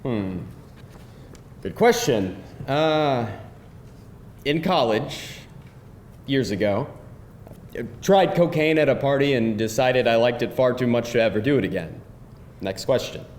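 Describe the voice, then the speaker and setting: A man's voice: medium pitch, lively intonation, unhurried, long pauses, a little mellow, natural voice. A man playing a cocky, playful guy who bosses and teases his date at a live screenplay table read. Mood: cocky, matter-of-fact. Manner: bossy, teasing game-show delivery. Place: indoor room before a live audience. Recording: echoey live room, faint noise.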